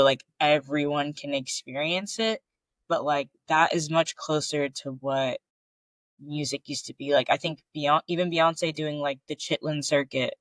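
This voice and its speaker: Higher-voiced man, loose chatty podcast talk. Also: fairly bright tone, no echo, rough recording. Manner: loose and searching, heavy on filler words. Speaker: podcast co-host. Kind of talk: casual conversational chat.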